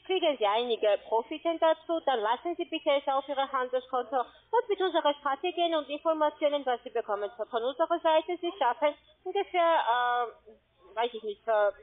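A woman whose first language is not German, pitching an investment over the phone in halting, broken phrases. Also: lively intonation. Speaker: scam call center agent. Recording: tinny phone line.

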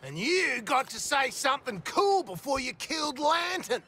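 An actor playing a cocky, crude rogue supervillain in a video game, brash and slangy. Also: male voice, very high-pitched, sing-song, normal speed, pushed and bright, slight background noise, exaggerated voice. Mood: jokey. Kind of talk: video game character acting.

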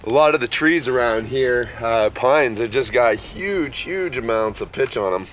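A man in his thirties vlogging at an outdoor campsite, talking in a slow, worn-out, easygoing way after being sick. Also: medium pitch, lively intonation, fairly bright tone, soft background music. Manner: laid-back, tired, unhurried with many fillers. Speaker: camping vlogger. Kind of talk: casual camp vlog talk to camera. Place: outdoor pine forest campsite.

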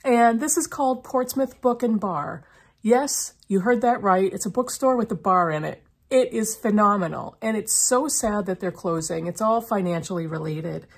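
A woman's voice: mid-range voice, normal speed, vocal fry, slightly rough. A middle-aged woman chatting casually to camera as a book vlogger, her voice slightly froggy from a lingering cough. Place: small indoor room lined with bookshelves.

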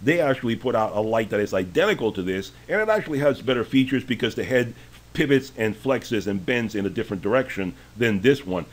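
A man's voice: medium pitch, lively intonation, brisk. A man talking casually and with strong opinions as he walks through store sale prices, with frequent fillers. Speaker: deal review host. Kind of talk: screen-share sale walkthrough.

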